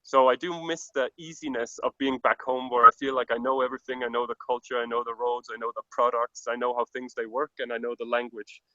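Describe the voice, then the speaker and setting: A man's voice: medium pitch, little pitch movement, fast, few pauses, bright, forceful tone, no room sound, lo-fi sound. A man from Denmark with a Danish accent, speaking English as a second language, a traveler giving an earnest, reflective interview over a call with frequent restarts. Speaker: traveler guest. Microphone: video call.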